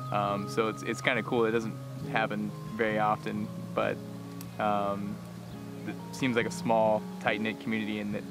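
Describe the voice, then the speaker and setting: A man talking in a casual interview in a low-key, relaxed way. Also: creaky. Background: guitar music.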